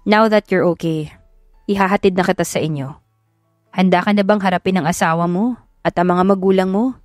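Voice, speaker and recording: Protective romantic male lead read by a woman, measured and reassuring, audio romance, dry close sound. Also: fairly low pitch, a little mellow, no echo, soft background music, close to an everyday voice. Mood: calm, reassuring. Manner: reassuring, measured, code-switching. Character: calm, protective wealthy young man, romantic lead.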